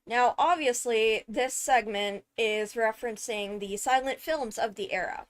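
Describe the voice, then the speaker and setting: High-voiced woman, slow casual commentary. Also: soft background music. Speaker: streamer, host. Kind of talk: casual commentary on a game video.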